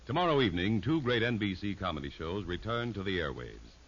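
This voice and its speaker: American male announcer, lowish voice, lively swings in pitch, old radio promo, muffled recording. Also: a little fast, husky. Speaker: network announcer.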